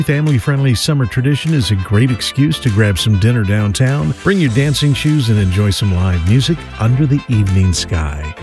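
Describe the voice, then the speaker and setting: Man, radio calendar read, steady promo tone, studio mic, music bed underneath. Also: lowish voice. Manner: steady promo-style delivery. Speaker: radio events announcer. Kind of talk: scripted events calendar read. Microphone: studio microphone.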